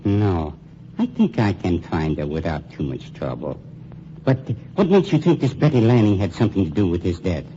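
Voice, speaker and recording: Creepy smooth undertaker, deep very dark voice, swooping delivery, muffled old radio broadcast. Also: male voice, sing-song. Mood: menacing. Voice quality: silky, coldly polite, drawn-out menace. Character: suave sinister male undertaker, smooth and menacing. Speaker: funeral home owner. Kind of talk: 1940s radio acting. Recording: muffled 1940s radio broadcast with faint hiss.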